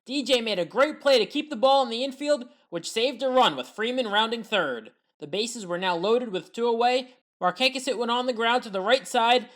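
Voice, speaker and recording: Woman calling baseball highlights. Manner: partisan home-team fan with wry asides; steady play-by-play reading. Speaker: sports highlights commentator. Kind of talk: voiced-over baseball highlight recap.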